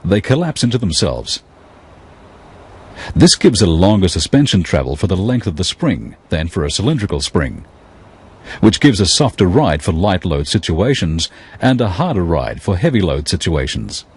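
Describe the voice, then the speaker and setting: A man giving scripted technical narration in a measured, explanatory way. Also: low voice, big pitch swings, darkish tone, husky.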